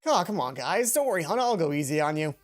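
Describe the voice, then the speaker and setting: A woman's voice: low voice, big pitch swings, quick pace. Woman as boyish young gamer friend, good-natured, playful, casual game dialogue, music behind. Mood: easygoing. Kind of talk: acted visual novel dialogue.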